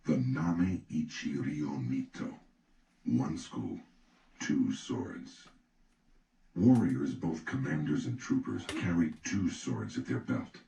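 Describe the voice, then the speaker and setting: A man narrates an audiobook in a measured, instructive way. His voice is extremely deep with a gravelly creak. The recording sounds distant from the mic, with noise behind it. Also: lively intonation, dark tone. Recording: echoey, distant, noisy recording.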